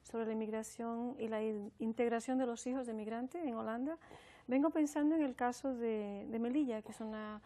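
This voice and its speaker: A woman's voice: fairly high pitch, steady tone, moderate pace, dark tone. A woman from the Republic, answering reflectively as an academic interview guest, often adding tag questions. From Dominican Republic, raised also in New York. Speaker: sociology professor, interview guest.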